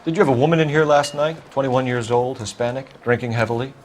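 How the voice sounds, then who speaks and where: An actor playing a stern, principled 1940s American police detective in a video game, with a clipped, insistent, businesslike manner. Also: male voice, mid-range voice, fast talker, slight background noise, natural voice. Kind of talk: scripted dramatic game acting, police questioning.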